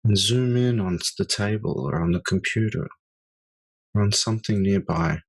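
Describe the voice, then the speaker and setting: A man leading a guided meditation, speaking slowly and softly with long pauses. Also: lowish voice, husky. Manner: slow, soft-spoken, with long pauses.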